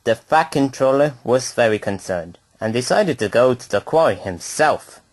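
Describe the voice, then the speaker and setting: A man's voice: mid-range voice, expressive, moderate pace, no echo. A man reading a scripted children's story aloud as narrator.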